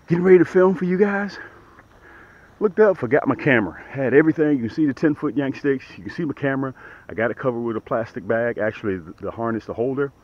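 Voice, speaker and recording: Excitable man on a fishing boat. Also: medium pitch, husky. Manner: excitable, repeats himself, talks straight to viewers; drops to a hushed voice on the water. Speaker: fishing channel host. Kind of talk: casual outdoor fishing vlog. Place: small boat on a lake outdoors, early morning rain. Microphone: action camera mounted on the boat. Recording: dull lo-fi camera audio with faint outdoor noise.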